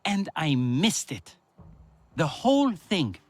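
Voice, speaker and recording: A husky game researcher, a bit high, hesitant and self-deprecating. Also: male voice, sing-song, slow, dry recording, exaggerated voice. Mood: rueful. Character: gentle male former wildlife researcher, rueful and soft-spoken.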